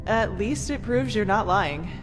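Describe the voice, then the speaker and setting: A woman's voice: medium pitch, animated, a little slow, lightly acted. A woman voicing a blunt, sarcastic, foul-mouthed female mage researcher in a video game. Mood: wry, dismissive. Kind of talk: video game character dialogue. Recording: reverberant hall, loud music behind.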